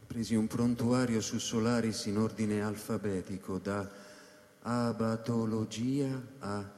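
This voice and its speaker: An actor playing a reflective male scientist who narrates a science-fiction radio drama in measured, deliberate phrases. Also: lowish voice, a little mellow. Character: reflective male scientist recounting life on space station. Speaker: actor narrating as the story's protagonist. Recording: echoey hall reverb under live electronic music.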